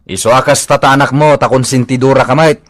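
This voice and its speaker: A man's voice: mid-range voice, steady tone, fast talker, no room sound, close to an everyday voice. Man acting an earnest father, dry close sound. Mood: reproving. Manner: admonishing, moralizing. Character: earnest, principled village father gently lecturing his young son. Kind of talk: radio comedy drama acting.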